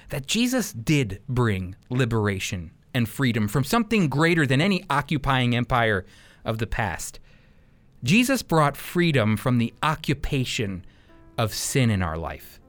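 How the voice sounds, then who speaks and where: A male Bible teacher speaks in a sermon-like style with a lively, wide-ranging pitch. His delivery is earnest and emphatic, leaning on key words as he explains scripture. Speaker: Bible teacher on a radio program.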